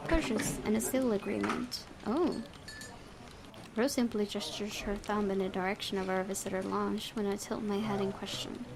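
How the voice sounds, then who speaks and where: A woman's voice: medium pitch, fairly even intonation, moderate pace, vocal fry, crowd noise. A woman hosting a gaming video, reading visual novel narration aloud and occasionally stumbling and correcting herself.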